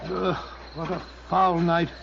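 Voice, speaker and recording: An actor playing a blustering, loyal older English gentleman sidekick who stammers and repeats himself, with a British accent, in a 1940s radio drama. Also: high-pitched, little pitch movement, slow, vocal fry, heavily acted. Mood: uneasy. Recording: dull, very noisy 1940s radio recording.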